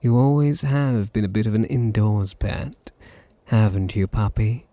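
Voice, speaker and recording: A man playing a possessive, teasing vampire master in an intimate ASMR roleplay, with a deep, husky British voice and a languid, condescending manner. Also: a little slow, warm tone, no room sound. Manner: languid, condescending, purring endearments.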